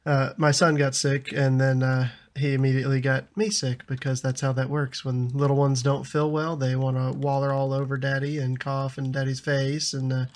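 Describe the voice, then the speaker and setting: A man in his thirties with a slightly raspy voice from a lingering cough, chatting casually over a building game and laughing often. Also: medium pitch, flat delivery, normal speed, few pauses. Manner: chatty, laughs often, frequent ums and restarts. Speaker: gaming YouTuber. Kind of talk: casual gameplay commentary.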